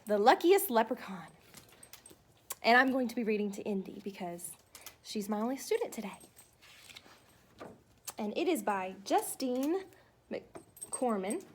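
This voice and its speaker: Woman teacher, high-pitched, chatty and child-directed, hosting a storytime for young pupils. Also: very animated, unhurried, long pauses, a little hiss or hum. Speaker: schoolteacher. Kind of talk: read-aloud video for young pupils.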